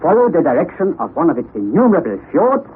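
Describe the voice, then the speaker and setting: An actor playing an excitable, eccentric elderly professor in a 1960s radio drama, speaking in a commanding, theatrical way. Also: male voice, on the high side, fast. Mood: lecturing. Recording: lo-fi vintage radio broadcast with hiss.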